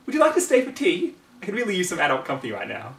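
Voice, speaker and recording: Man putting on a high, fussy lady unicorn. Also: wildly swooping pitch, very fast, gravelly, a bit bright, heavily acted. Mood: gracious. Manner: genteel, dramatic, formal wording. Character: refined, fussy lady unicorn, polite but quick to snap. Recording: roomy, distant mic, faint noise.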